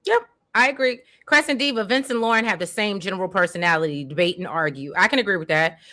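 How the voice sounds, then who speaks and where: A woman's voice: mid-range voice, pushed and bright. A woman co-hosting a casual recap show, reading viewer comments aloud and chiming in agreeably. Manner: reads comments aloud, agreeable, quick affirmations.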